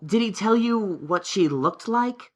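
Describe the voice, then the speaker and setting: A man's voice: sits high, expressive, measured pace, pitched above the performer's own voice. A man voicing a studious, earnest young male unicorn pony in a fan-fiction audio story, speaking in an emphatic, analytical way. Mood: probing.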